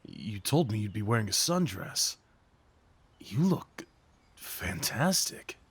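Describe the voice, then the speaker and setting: A man's voice: on the low side, big pitch swings, slow pace, fairly bright tone, slightly rough, dry recording, full character voice. A young man acting a shy, sweet, apologetic date in an ASMR roleplay, talking hesitantly to the listener. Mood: shy, nervous. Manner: hesitant, apologetic, rambling, sighs and nervous chuckles.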